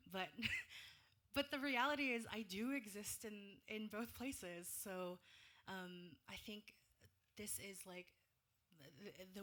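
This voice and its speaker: A woman from the Philippines, a playwright on a discussion panel, answering audience questions in a thoughtful, halting way. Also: medium pitch, fairly bright tone. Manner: thoughtful, halting, searching for words.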